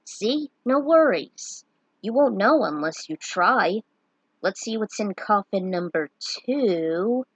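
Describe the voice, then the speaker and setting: A woman's voice: medium pitch, expressive, slow, husky, no room sound, poor audio. A woman voicing a carefree, gleeful young male adventurer in a fantasy story, breezy and coaxing. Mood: upbeat coaxing.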